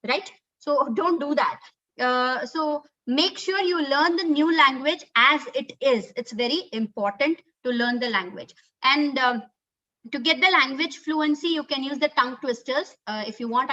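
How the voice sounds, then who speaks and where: Bright-voiced Indian English woman lecturing. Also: sits high. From Karnataka, India. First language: Kannada. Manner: earnest, instructive, many fillers like "uh" and "okay". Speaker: webinar speaker on communication skills. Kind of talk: online lecture. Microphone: computer mic on a video call. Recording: dull lo-fi webinar audio.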